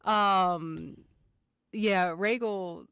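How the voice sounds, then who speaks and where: Slow-paced woman chatting on a podcast. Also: medium pitch, creaky, a bit bright, smooth, no room sound, clean studio sound. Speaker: podcast after-show co-host. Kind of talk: casual fan discussion.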